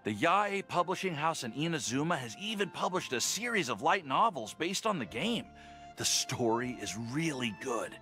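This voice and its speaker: A man's voice: mid-range voice, sharp tone. An actor playing a friendly, nerdy young male alchemist in a video game, chatty, eager and a little sheepish.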